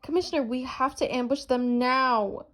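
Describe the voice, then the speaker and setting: A plucky female newspaper reporter, played by a woman, with a high voice. She speaks earnestly, in the manner of an amateur superhero radio drama. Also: normal speed, fairly bright tone. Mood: earnest, urgent.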